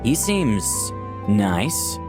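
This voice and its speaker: Easygoing young hero, very animated. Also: male voice, fairly low pitch, extremely slow, exaggerated voice. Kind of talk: scripted first-person narration and dialogue. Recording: dry, faint music behind.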